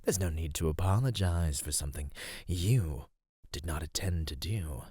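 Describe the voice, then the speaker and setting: A man playing an ancient cave monster in an ASMR roleplay, husky and low, speaking formally with predatory, teasing menace. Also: animated, moderate pace, a little mellow, no echo. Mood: taunting judgment.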